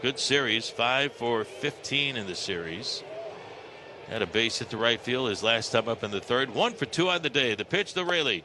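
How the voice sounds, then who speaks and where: American man calling baseball, noisy broadcast. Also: medium pitch. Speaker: baseball radio play-by-play announcer. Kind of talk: live play-by-play commentary. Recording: clearly noisy live ballpark radio broadcast.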